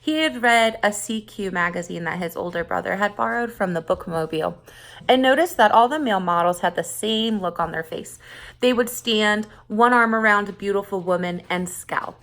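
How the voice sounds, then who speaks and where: A woman reading a short story aloud like a teacher narrating for students, with expressive emphasis on key words. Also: medium pitch, normal speed.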